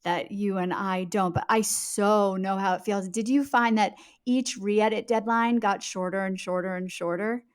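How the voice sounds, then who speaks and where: A woman chats as an author guest on a podcast. Her voice sits at a middle pitch. She explains in an articulate, conversational way and puts emphasis on the words that matter. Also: fairly even intonation, normal speed, few pauses.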